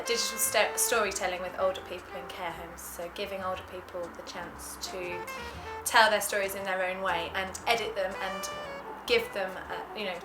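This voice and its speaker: A woman's voice: mid-range voice, reverberant, rough recording. A woman, a charity chief executive, plainly explaining her organisation's project in a documentary interview.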